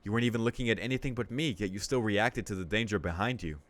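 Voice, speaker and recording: A man voicing a proud young vampire princess in a calm, mocking way, in a fairly low voice. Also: fast talker, lightly acted. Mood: calm taunting.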